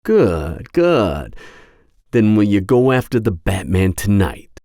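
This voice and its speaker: A man's voice: on the low side, very animated, takes it slowly, warm tone, no echo, clean studio sound, full character voice. A man playing an imperious crime boss in an audio roleplay drama, smug, cold and businesslike. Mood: impatient, curt.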